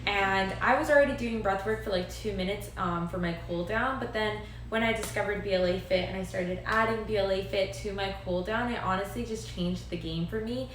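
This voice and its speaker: A woman's voice: medium pitch, fairly quick, few pauses. A young woman hosting a live stream, chatting in an upbeat way with many fillers. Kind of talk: casual live-stream chat. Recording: very echoey room, faint noise.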